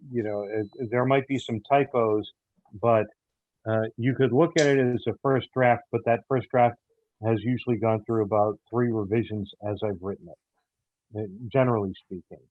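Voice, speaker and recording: A man answering as an interview guest, haltingly, often repeating words as he searches for phrasing. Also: on the low side, fairly even intonation. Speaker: audio drama writer, interview guest. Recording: tinny phone-like call audio.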